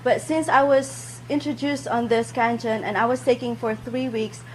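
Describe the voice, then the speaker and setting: A woman with a slightly high voice speaks carefully, with small hesitations. Her delivery is plain and sincere. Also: steady tone, moderate pace, a little hiss or hum, rough recording. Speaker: customer giving a testimonial. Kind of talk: unscripted spoken testimonial.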